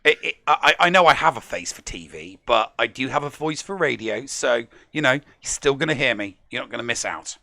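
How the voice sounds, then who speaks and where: A man's voice: medium pitch, expressive, fairly quick, vocal fry, few pauses, pushed and bright, no room sound. A man hosting a podcast in casual live-stream chat, jokey, laughing often and repeating words when he gets going. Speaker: podcast host.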